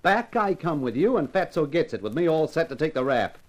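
A man's voice: fairly high pitch, very animated, brisk. A man playing a hardboiled, sardonic private eye in a 1950s radio crime drama, with slangy, punchy delivery. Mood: bitter, sardonic. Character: wisecracking hardboiled American male private detective, weary and defiant. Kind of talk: 1950s radio crime drama acting with first-person narration.

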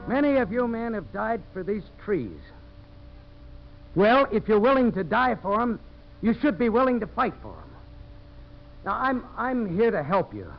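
A man's voice: sits high, sing-song, a little slow, pauses often, exaggerated voice. An actor playing a tough, determined American man turned champion of settlers in a 1950s radio drama, intense and earnest. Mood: rousing, forceful. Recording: muffled, hissy old radio broadcast.

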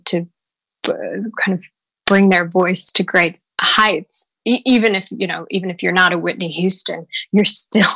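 A woman's voice: medium pitch, fairly bright tone, no echo, low-quality recording. A woman working as a voice coach, answering interview questions thoughtfully with frequent restarts and repeated words.

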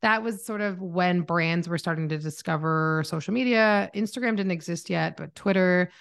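A woman's voice: lowish voice, moderate pace, gravelly. A woman in her thirties with a Canadian accent, telling her story in a chatty, informal interview style. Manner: informal, chatty, self-narrating. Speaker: podcast guest, marketing mentor.

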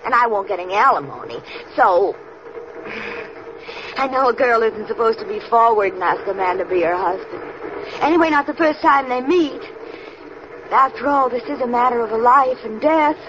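A woman's voice: a little high, lively intonation, moderate pace, close to an everyday voice. A woman playing a guileless, chatty, much-married and scatterbrained young woman in 1950s radio comedy-mystery style. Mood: chatty, insistent. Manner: guileless, chatty, literal-minded. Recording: noisy, muffled old 1950 radio transcription.

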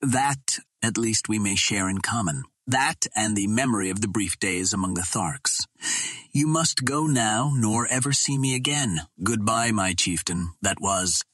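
A man's voice: on the low side, animated, unhurried, a bit bright, dry recording. Man voicing a sorrowful, proud young alien princess. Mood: hopeless, firm. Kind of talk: dramatic audiobook dialogue.

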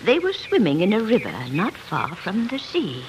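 A woman's voice: mid-range voice, wildly swooping pitch. Mysterious old woman, kindly, 1940s radio storybook style, hugely varied intonation, noisy old recording. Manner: slow, storybook-like delivery.

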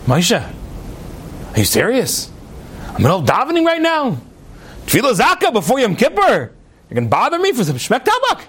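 A man's voice: high voice, lively intonation, fast, pitched above the performer's own voice. A man playing a rich, pious, self-important man in a folk tale, easily put out and indignant. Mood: irritated.

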